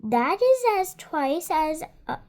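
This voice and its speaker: High-voiced seven-year-old girl reading aloud. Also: very animated, takes it slowly, a little mellow. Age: child, 7 years old. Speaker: child reader. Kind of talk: read-aloud of a children's nonfiction book with spontaneous comments.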